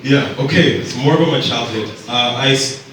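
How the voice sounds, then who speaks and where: A young man in his twenties from Zambia telling his life story to an audience in a relaxed, halting way with many fillers. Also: medium pitch, slight background noise. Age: 20s. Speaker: speaker at a storytelling event. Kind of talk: personal storytelling talk. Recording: echoey room picked up from a distance.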